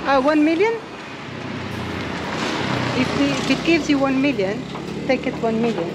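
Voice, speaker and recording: Woman in her forties, casual vlogger, slightly high voice, loud street noise. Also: lively intonation, a little slow. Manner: chatty and hesitant with fillers. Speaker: travel vlogger. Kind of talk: casual travel vlog chat. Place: outdoors on a street. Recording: loud outdoor background noise.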